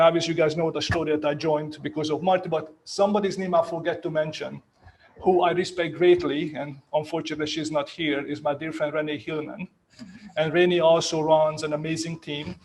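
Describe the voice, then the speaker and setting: A man's voice: on the high side, a little hiss or hum, low-quality recording. Chatty Hungarian-accented man in his fifties. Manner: chatty, self-mocking, leans on "right?" tags. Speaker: real estate agent on a panel. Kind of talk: casual panel storytelling.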